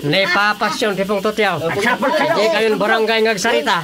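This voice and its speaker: A woman's voice: medium pitch, normal speed, a loud crowd, lightly acted. A woman with a Filipino accent playing a practical village councillor who settles neighbours' quarrels in a radio drama. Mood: firm, conversational.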